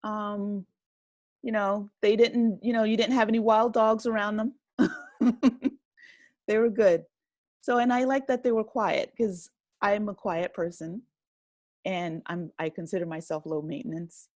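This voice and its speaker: A woman's voice: mid-range voice, steady tone, measured pace, pauses often, a little mellow, no room sound, clean studio sound. A woman speaking casually as an interview guest, modest and self-deprecating, laughing easily. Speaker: interview guest, audio drama writer and director.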